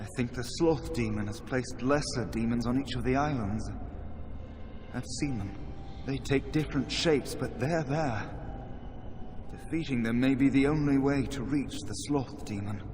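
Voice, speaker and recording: An actor playing a weary, despairing trapped mage in a video game, speaking in a halting, resigned, bitter manner. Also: male voice, medium pitch, close to an everyday voice. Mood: hesitant, musing. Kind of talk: dramatic video game dialogue. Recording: game audio with noisy ambience behind.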